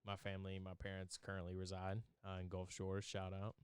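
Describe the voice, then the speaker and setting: A young man from Alabama talks in an easygoing, rambling way, full of fillers. His voice is fairly low and very smooth, recorded dry and close. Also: completely flat delivery, a little fast, no echo. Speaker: podcast co-host. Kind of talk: casual chat.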